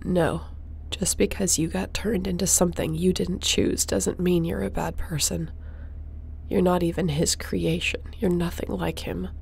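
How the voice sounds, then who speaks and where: Resolute space captain for sci-fi audio drama, female actor: loyal, earnest, fry-edged voice, slight background noise. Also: on the low side, level tone, unhurried, a little mellow. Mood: weary, tender. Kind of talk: sci-fi audio roleplay acting.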